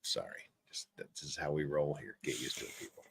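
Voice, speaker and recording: A man's voice: extremely low pitch, steady tone, brisk, gravelly, slightly rough. A man hosting a livestream with casual, joking, teasing banter. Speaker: livestream host.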